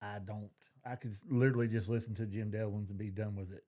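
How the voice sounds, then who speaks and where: A man's voice: lowish voice, steady tone, quick pace, warm tone. Middle-aged man chatting casually. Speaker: podcast co-host. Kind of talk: casual chat.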